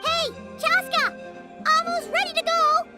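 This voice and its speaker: Squeaky, very high, excitable fairy sidekick. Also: female voice, unhurried, over loud music. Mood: excited. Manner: squeaky, childlike, animated. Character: tiny, excitable, chirpy floating fairy companion. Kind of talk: video game voice acting.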